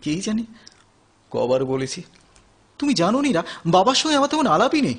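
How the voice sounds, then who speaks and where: Lonely hurt 30ish man in radio play, high breathy voice, swings all over, halting. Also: sing-song, fairly bright tone, exaggerated voice. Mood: agitated, confessional. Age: 30s. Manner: emotional, halting, repeats phrases. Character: lonely, anguished thirty-year-old son of neglectful parents. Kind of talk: Bengali audio play acting.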